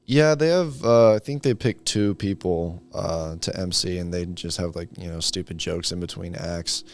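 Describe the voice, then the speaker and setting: A man's voice: low voice, moderate pace, creaky. A young man in his late teens chatting casually and a little unsurely as a guest. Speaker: student guest. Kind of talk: casual chat.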